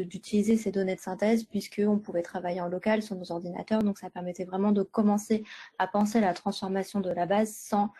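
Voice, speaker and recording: A woman's voice: medium pitch, mellow. A woman on a project team, explaining a practical example informally during an online meetup. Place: video call.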